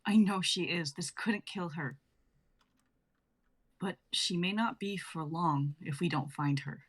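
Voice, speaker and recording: Leona, a fierce and determined woman, read by a woman with a husky voice. She speaks firmly and insists. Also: fairly low pitch, slow.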